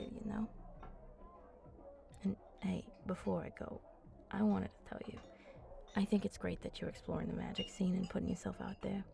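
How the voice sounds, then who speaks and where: A woman playing a flirty, confident vampire in an intimate ASMR roleplay, talking softly and playfully up close and often dropping into breathy murmurs. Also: mid-range voice, a little hiss or hum. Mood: gentle, caring. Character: flirty, teasing, confident female vampire at a bar. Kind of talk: ASMR roleplay, intimate one-sided chat.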